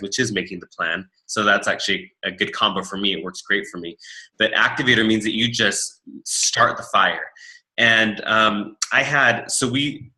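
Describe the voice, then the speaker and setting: A man with a big, loud voice, a team leader casually talking through a business training. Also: on the low side, low-quality recording. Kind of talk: casual workshop talk.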